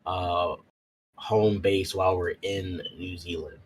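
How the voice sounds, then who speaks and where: A man's voice: low-pitched, fairly even intonation, takes it slowly, poor audio. A man playing a tabletop roleplaying game, chatting informally and asking questions in a casual way. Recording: noticeable background noise.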